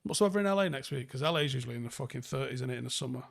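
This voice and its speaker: Male livestream host, softened Mancunian accent, creaky mid-pitched voice, close dry sound, blunt and sweary off-the-cuff chat. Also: expressive, racing, dry recording. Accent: northern English, softened Manchester. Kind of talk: casual chat, answering viewer comments.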